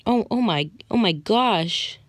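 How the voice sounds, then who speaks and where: A woman voicing a bossy, scornful male squad leader in improvised comedic game lines, scolding haltingly and repeating herself. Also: mid-range voice, expressive, no room sound. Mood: haughty, exasperated. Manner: haughty, halting, repetitive scolding.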